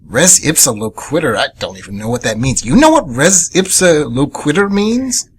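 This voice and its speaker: A man's voice: on the high side, very animated, moderate pace, husky. A man playing a flustered, indignant husband with a stammering, exclaiming manner in an amateur radio comedy. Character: flustered, indignant suburban husband being sued.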